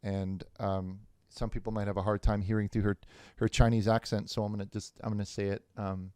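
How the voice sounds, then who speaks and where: A man playing a bumbling, evasive televangelist hosting a parody call-in show, halting and repeating himself. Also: lowish voice, flat delivery, mellow, smooth, no echo, close to an everyday voice. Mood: evasive, flustered. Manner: halting, stammering, repetitive. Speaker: host. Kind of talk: improvised parody call-in religious broadcast.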